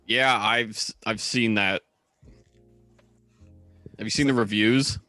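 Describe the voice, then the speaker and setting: A man's voice: on the low side, fairly even intonation, slow, bright, forceful tone, faint music behind. A man co-hosting a casual chat, trading teasing jokes with his friends. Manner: teasing, joking banter. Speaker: podcast co-host.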